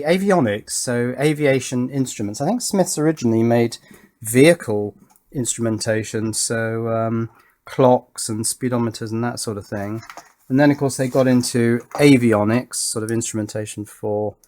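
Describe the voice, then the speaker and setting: A man in his fifties with an English accent, giving casual, rambling commentary as he works, hesitating and questioning himself as he thinks aloud. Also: medium pitch. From England. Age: 50s. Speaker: electronics hobbyist and video maker.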